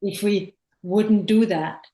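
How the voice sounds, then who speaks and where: A woman's voice: mid-range voice, steady tone, takes it slowly, dark tone, echoey room. A woman talking in a meeting on a video call, searching for words, trailing off and starting again. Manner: searching, trails off and restarts, says "like" a lot. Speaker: participant in a meeting. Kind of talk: casual spiritual conversation.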